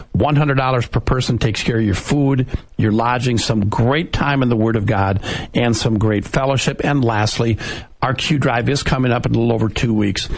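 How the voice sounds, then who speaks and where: Gravelly-voiced preachy male radio host. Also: mid-range voice. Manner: earnest, preachy, exhorting listeners.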